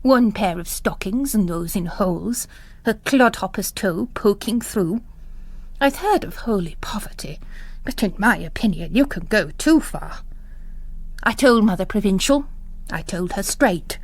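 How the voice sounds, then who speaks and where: An older mother superior voiced by a woman narrator in a storybook reading, bossy and full of disapproval. She is brisk, gossipy and emphatic, with a very lively pitch in a close, dry recording. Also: no echo. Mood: indignant, scornful.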